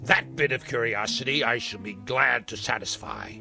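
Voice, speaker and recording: An actor playing a spiteful, frail old uncle in a vintage television drama, speaking in ornate, sardonic, theatrical phrases. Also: male voice, mid-range voice, normal speed, creaky, music in the background, lightly acted. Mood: gloating. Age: older.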